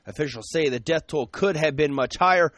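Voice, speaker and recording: A man reading the news on the radio in a brisk, even newscaster delivery from a studio. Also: a little high, no room sound. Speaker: radio newsreader.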